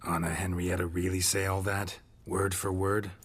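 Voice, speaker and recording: An actor playing a stoic, wry monster hunter in a video game, with terse, deadpan, sarcastic delivery. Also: male voice, low-pitched, moderate pace, breathy edge. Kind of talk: video game cutscene acting.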